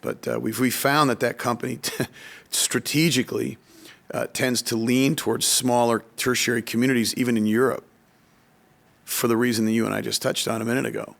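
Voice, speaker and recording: A man with a noticeably creaky, gravelly voice at an ordinary male pitch. He speaks in a conversational podcast style, circling back over words as he explains things through anecdotes. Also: fairly even intonation, a bit bright. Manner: halting, repeats words while explaining. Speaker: economic development official, podcast speaker.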